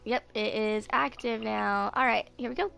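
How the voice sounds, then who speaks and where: A woman's voice: a little high, moderate pace, creaky, fairly bright tone. A woman in her twenties chatting casually while streaming a video game, rambling and hesitant as she goes. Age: 20s. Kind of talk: casual live gameplay chat.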